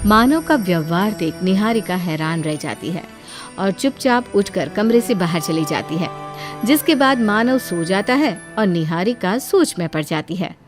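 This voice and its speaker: A woman's voice: medium pitch, expressive, normal speed. A woman narrating a scripted story as a storyteller. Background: background music.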